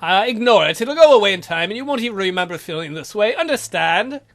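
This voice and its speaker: A woman's voice: mid-range voice, expressive, fast, fairly bright tone, soft background music. Woman putting on a stern, commanding count. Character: stern, commanding male count, coolly probing. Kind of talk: visual novel read aloud in character.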